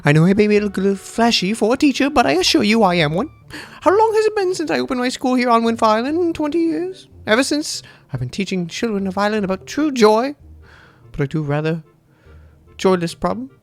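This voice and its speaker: Man acting out a flashy female teacher, high sing-song falsetto, theatrical, sweetly pleading, lively pitch. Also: a little fast, soft background music, full character voice. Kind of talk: reading game dialogue aloud in character.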